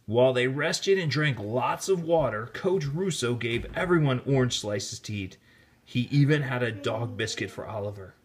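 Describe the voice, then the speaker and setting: Animated man reading a children's storybook. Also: medium pitch. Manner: expressive, deliberate storytelling delivery. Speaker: storybook reader, teacher. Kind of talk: read-aloud children's story narration with casual asides. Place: indoors, filming the book held in hand.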